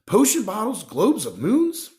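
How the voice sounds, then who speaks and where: A man reading a children's novel aloud as an expressive storyteller. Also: sits high, unhurried. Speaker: reader, storyteller.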